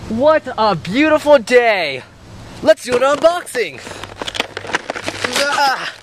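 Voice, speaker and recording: A man's voice: very high-pitched, big pitch swings, slow pace, faint music behind. A young man hosting a jokey product-testing vlog, recorded outdoors on a camera mic. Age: young adult. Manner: playful, jokey, counts down drop tests. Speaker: YouTube host. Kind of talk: comedic product-test vlog. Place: outdoors in a dirt yard.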